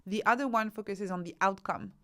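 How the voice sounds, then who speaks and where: A woman's voice: mid-range voice, brisk, a bit bright. A woman guest explaining and advising conversationally, with emphatic repetition and rhetorical questions. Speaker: podcast guest, expert author.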